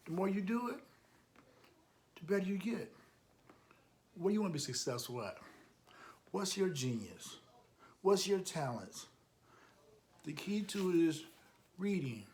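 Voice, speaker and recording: Higher-pitched man reading to children. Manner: animated, encouraging, repeats key phrases, chats to the listener. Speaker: host of a children's storytime, youth club coach. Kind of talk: read-aloud storytime with encouraging asides to children.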